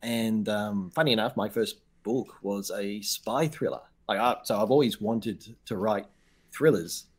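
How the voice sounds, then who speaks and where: An Australian man in his thirties chats over a headset video call, his voice sitting a little low. He pokes fun at himself, laughs readily and often restarts his words. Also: measured pace, husky. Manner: self-deprecating, laughs often, repeats words when starting. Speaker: guest author. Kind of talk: casual video-call interview.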